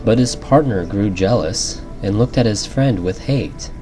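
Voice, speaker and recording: A man's voice: on the low side, measured pace. A man narrating a rhyming bedtime storybook as a storyteller, in an ASMR style. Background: background music.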